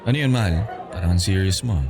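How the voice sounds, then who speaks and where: Low-voiced, teasing Filipino suitor. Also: male voice, lively intonation, fast, music in the background. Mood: affectionate. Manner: playful, coaxing, conversational. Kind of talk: Filipino radio romance drama acting.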